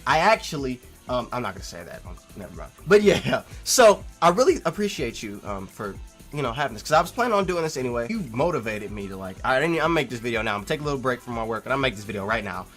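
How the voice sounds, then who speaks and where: A man with a mid-pitched voice talks through his opinions as a video host, with music behind him. He is casual but emphatic, restating phrases for weight. His delivery moves between insistent, louder stretches and quieter asides. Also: sing-song, fast talker. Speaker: video host replying to a viewer comment. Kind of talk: casual opinionated commentary to camera.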